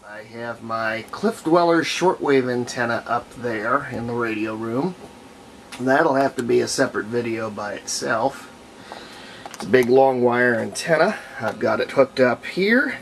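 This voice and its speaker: Casting: American man, folksy hobbyist demonstrator with a mid-pitched voice, colloquial and thinking out loud. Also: lively intonation, measured pace, slight background noise. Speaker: hobbyist demonstrating homemade radio. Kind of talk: casual hands-on demonstration.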